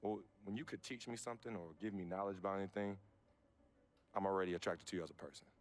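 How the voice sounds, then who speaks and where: Man acting laid-back, deep-voiced young American athlete. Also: fast talker, natural voice. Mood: reflective, candid. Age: young adult. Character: young star basketball player, laid-back, loyal, self-assured. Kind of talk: naturalistic video game cutscene acting. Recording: music behind the voice.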